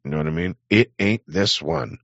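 Very deep, gravelly man ranting on a livestream. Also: sing-song, moderate pace, fairly bright tone, dry recording, dull audio. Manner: profane, sardonic. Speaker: livestream host. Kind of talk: rambling political rant to live chat.

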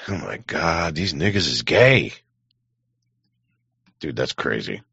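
A man live-streaming in casual chat, rambling bluntly and swearing, with a gravelly, husky voice. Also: rumbling low, sing-song, slow, pushed and bright, no room sound. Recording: dull, close, dry microphone.

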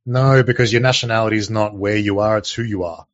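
Man, lowish voice, stream co-host, teasing, dry muffled audio. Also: fairly even intonation, fast talker, no room sound. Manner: teasing, with a chatty run of fillers. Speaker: livestream co-host. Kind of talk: casual livestream chat.

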